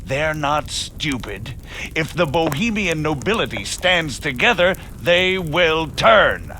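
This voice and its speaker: A shrewd margrave argues his case in formal, eloquent speech. His bright, forceful delivery swings widely in pitch, as in a dramatic game cutscene. A faint rumble sits behind him. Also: big pitch swings, slow, full character voice. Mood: measured, persuasive. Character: shrewd noble margrave, commanding and politically cunning.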